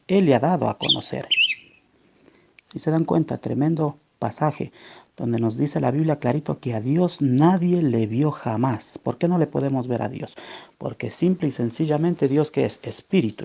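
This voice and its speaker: Husky, gravelly Ecuadorian man debating scripture. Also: mid-range voice, dark tone. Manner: emphatic, didactic, asking rhetorical questions. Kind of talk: religious debate exposition with scripture citations.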